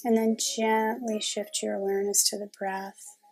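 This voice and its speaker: A woman leading a guided meditation in a calm, gentle, unhurried manner with long pauses. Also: mid-range voice, rough recording.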